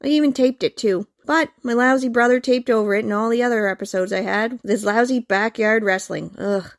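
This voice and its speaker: A woman's voice: fairly high pitch, a little fast, no echo, clean studio sound, natural voice. An actress in a comic radio play playing a smug, scheming young woman with a sardonic, haughty, taunting manner.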